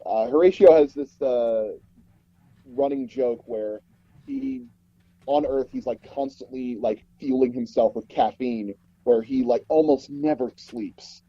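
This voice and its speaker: A man being interviewed as a guest, telling a story casually and pausing mid-sentence as he goes. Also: mid-range voice, animated, dark tone, slightly rough, no echo, highs cut off. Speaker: interview guest, audio drama producer.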